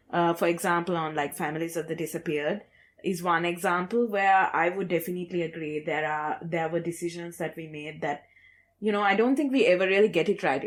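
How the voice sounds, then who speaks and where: A woman with a slightly low voice speaks as a journalist on a discussion panel. She is reflective and a little hesitant, working out her thoughts as she goes.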